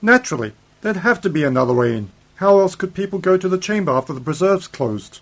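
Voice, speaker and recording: A man's voice: high-pitched, expressive, fast, fairly bright tone. Man playing a brooding, inquisitive sci-fi adventurer uncle. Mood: curious, wary. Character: brooding, inquisitive male time-traveller uncle, mysterious and authoritative. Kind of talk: amateur science fiction radio play acting.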